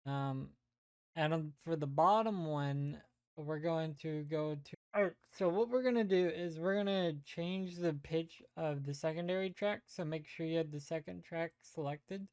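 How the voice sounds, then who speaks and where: Low-voiced woman giving a casual tutorial. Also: unhurried, a little mellow, clear, resonant tone, no echo. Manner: hesitant, thinking aloud as they go. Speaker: tutorial presenter. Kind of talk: casual unscripted software walkthrough.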